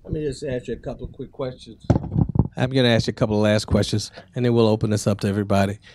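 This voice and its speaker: A man moderating a bookstore book talk, asking the author brisk, businesslike questions. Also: on the low side, steady tone, very noisy. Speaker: event moderator. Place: bookstore event space.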